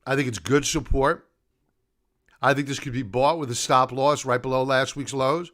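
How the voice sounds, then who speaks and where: A man's voice: mid-range voice, steady tone, normal speed, fairly bright tone. Middle-aged man hosting a live stream. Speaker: live stream host, stock market analyst. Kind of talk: unscripted live commentary, chatting to viewers.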